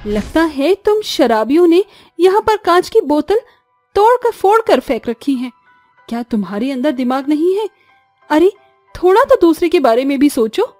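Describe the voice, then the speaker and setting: A woman's voice: sits high, sing-song, moderate pace, darkish tone, no echo, faint music behind. A woman voicing a caring, principled young village animal doctor in a cartoon, earnest and firm. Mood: indignant, scolding. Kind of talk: cartoon story voice acting.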